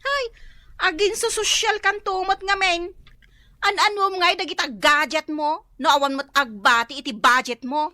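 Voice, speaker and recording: A shrill, scolding elder sister. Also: female voice, steady tone, normal speed, sharp tone, no echo. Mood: scolding, exasperated. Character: exasperated, scolding elder sister who sells smoked fish. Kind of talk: Ilocano radio comedy-drama acting.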